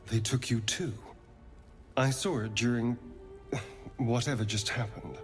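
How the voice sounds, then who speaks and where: An actor with an English accent playing a suave, sly elf rogue in a video game, haughty, silky and theatrical. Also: male voice, mid-range voice, unhurried, faint music behind, lightly acted. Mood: wary. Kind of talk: video game character acting.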